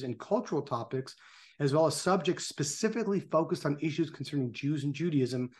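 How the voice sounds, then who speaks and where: A man in his fifties hosting a webcast, talking in an emphatic, plain-spoken way on a video call. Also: on the high side. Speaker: show host. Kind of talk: opinion talk and guest introduction on a video webcast. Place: small indoor room on a video call.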